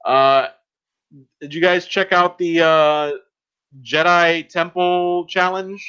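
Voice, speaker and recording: A man co-hosting a podcast in casual group chat, speaking haltingly as he thinks aloud. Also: sits high, fairly even intonation, pushed and bright, clear, resonant tone. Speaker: podcast co-host. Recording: dull, lo-fi voice chat audio.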